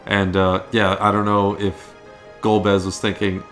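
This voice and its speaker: A man's voice: fairly low pitch, level tone, a little slow, a bit bright, soft background music. A man co-hosting a gaming video, chatting informally and agreeably about the cutscenes. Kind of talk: casual chat commentary on game cutscenes.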